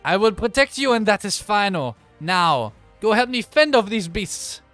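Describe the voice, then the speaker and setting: Man doing a gung-ho warrior, botched Irish brogue, commanding, protective, raised pitch, storybook battle hero, music behind. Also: sing-song, normal speed, a bit bright, no echo. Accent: attempted Irish. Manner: blustering, commanding.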